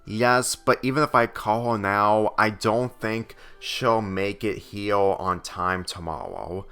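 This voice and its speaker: A man's voice: lowish voice, takes it slowly, bright, forceful tone, smooth, heavily acted. A man voicing an earnest, wistful middle-aged father in a story reading, speaking plainly. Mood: doubtful, resigned.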